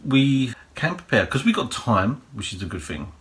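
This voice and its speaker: A man with a croaky voice, hosting a prepping channel and giving rambling practical advice full of fillers and "you know"s. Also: mid-range voice, very animated, normal speed, fairly bright tone. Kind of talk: casual advice monologue.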